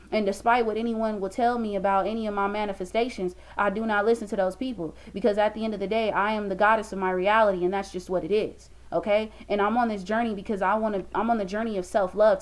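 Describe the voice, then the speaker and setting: A woman's voice: mid-range voice, steady tone, fast, poor audio. A woman in her twenties giving an emphatic, preachy motivational talk to a webcam in a small room, repeating lines for stress. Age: 20s. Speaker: motivational vlogger.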